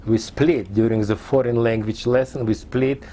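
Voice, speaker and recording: A man in his sixties from Tajikistan, a Persian speaker, recounting his life in halting, fragmented English in an oral history interview. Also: medium pitch, steady tone, dark tone, slight background noise. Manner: halting non-native English in short fragments.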